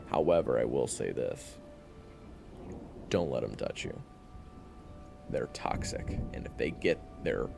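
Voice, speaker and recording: A man playing a gruff, jaded vampire hunter in an audio roleplay, blunt and sternly protective as he gives terse orders. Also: rumbling low, darkish tone, exaggerated voice. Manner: blunt, terse, commanding, cursing. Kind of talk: audio roleplay acting addressed to the listener.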